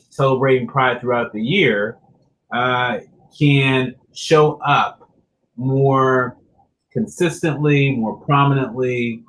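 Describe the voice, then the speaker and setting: A man moderates a panel chat at a mid-range pitch. He speaks haltingly and keeps filling gaps with ums and uhs. There is a faint hiss of noise behind him. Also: a bit bright, rough recording. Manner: halting, many uh and um fillers.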